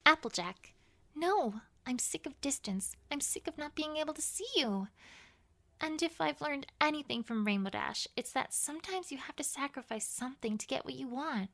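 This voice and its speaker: A woman's voice: sits high, vocal fry, a bit bright, exaggerated voice. A woman voicing an earnest, bookish young female pony scholar in an emphatic, conversational letter reading for an audio drama. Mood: insistent.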